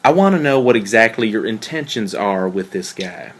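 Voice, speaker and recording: A man's voice: fairly low pitch, animated, normal speed, a bit bright. A man playing a jealous, hurt boyfriend in a dramatic table read, questioning in a pointed, sardonic way. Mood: accusing, sardonic. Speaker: actor in a script table read.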